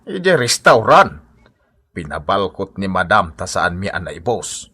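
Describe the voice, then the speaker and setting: Proud old ilocano grandpa type, deep n husky but sharp and forceful, comedy radio drama. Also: male voice, very animated, unhurried, heavily acted. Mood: pleased, scheming. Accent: Filipino, Ilocano. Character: proud, blustering old Ilocano father-in-law.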